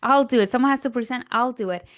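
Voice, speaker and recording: Clear-voiced Peruvian woman telling her story. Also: on the high side, fast, no room sound, studio quality. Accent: Peruvian Spanish. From Peru. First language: Spanish. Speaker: podcast guest, career coach. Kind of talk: personal storytelling in a podcast conversation.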